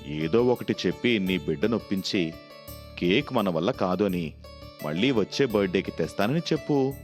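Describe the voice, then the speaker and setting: A man's voice: deep voice, lively intonation, moderate pace, long reverb tail, faint music behind. A man playing a practical, helpful apartment worker in a storybook tale, giving matter-of-fact advice. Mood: doubtful, practical. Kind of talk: storybook dialogue for a moral tale.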